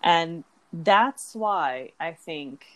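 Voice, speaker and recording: A woman's voice: lowish voice, animated, extremely slow, a bit bright. A woman from Iran, a dietitian, talking reflectively about her career and food culture in an interview. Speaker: dietitian guest. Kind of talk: conversational interview.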